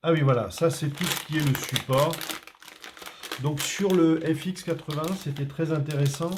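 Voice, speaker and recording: A man's voice: fairly high pitch, normal speed, noisy background. A man from France doing a casual unboxing, talking hesitantly with many fillers and restarts. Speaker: tech reviewer.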